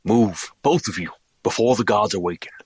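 A grave alien rebel leader in an audio drama. His voice is low and husky in a dry recording, urgent and protective. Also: normal speed, a little mellow, no echo. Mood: wary, urgent. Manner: terse, urgent.

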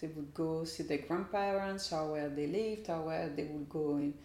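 A woman's voice: low voice, moderate pace, mellow. A woman asking informal interview questions, tentative and trailing off, with non-native phrasing. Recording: echoey room.

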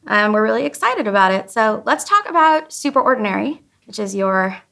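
A woman's voice: fairly high pitch, big pitch swings, brisk, gravelly, bright, forceful tone. A woman hosting a podcast, introducing and conducting an interview.

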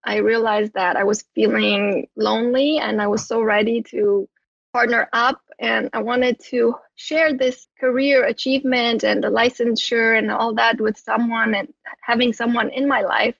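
A woman's voice: on the high side, fairly even intonation, moderate pace, creaky, a bit bright, husky, no echo, muffled recording. A woman, a therapist talking as a guest in an interview, rambling with many restarts. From Romania. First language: Romanian. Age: 30s. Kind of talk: conversational interview.

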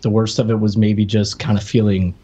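A man's voice: lowish voice, level tone, fairly quick, dark tone, slightly rough, dull audio. An American man co-hosting a podcast, chatting casually as he tells personal anecdotes and acts out what people said. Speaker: podcast co-host.

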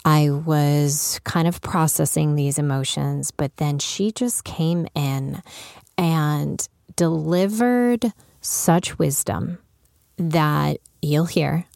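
A woman's voice: low-pitched, slow pace, creaky, a little mellow, no room sound, studio quality. A woman hosting a podcast, talking reflectively and earnestly, stressing points by repeating them. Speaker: podcast host. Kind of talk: reflective podcast intro and interview.

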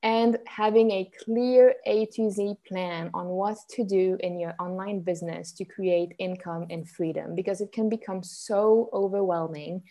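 Young woman, from Switzerland, business coach, live stream, upbeat and chatty, warm mellow voice. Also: medium pitch, fairly even intonation, measured pace, few pauses. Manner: upbeat, chatty, reads viewer comments aloud. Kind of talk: live-stream teaching chat with viewers.

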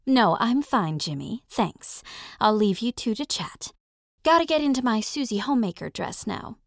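Woman acting a soft, high-voiced 1940s film actress. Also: expressive, normal speed, gravelly, dry recording, clean studio sound. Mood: friendly, polite. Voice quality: soft, sultry.

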